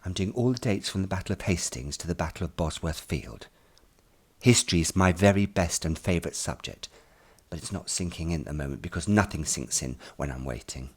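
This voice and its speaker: A man's voice: low-pitched, brisk, husky, full character voice. A man reading an audiobook as an anxious, earnest ten-year-old schoolboy telling his worries in the first person. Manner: earnest, fretful, expressive storytelling. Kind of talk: first-person audiobook narration in present tense.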